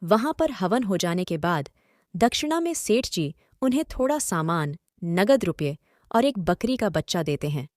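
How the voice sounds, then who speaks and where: A woman's voice: lowish voice, very animated, normal speed, darkish tone, dry recording, studio quality. A woman narrating a scripted story as host and storyteller.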